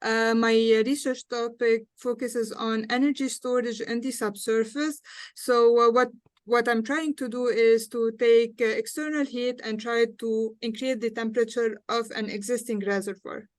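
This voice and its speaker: Casting: young Lebanese-accented woman to moderate a panel, lightly high pitch, very dry sound. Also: fairly even intonation, moderate pace, no room sound. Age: young adult. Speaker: panel moderator and student engineer. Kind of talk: hosting a panel discussion.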